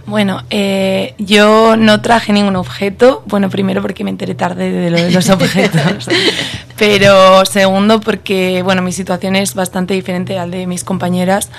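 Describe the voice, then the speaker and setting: A woman's voice: medium pitch, flat delivery, normal speed, creaky. A woman from the Basque Country speaking candidly and thoughtfully on a radio roundtable, with many fillers. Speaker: roundtable participant. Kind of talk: casual radio roundtable talk.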